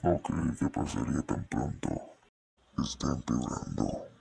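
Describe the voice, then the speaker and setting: A man voicing a monstrous talking great dane in a horror cartoon fan dub, possessive, sorrowful and menacing, with a guttural rasp. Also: dark tone, no room sound, natural voice. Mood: brooding, wounded. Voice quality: guttural, breathy growling rasp. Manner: slow, brooding, menacing delivery.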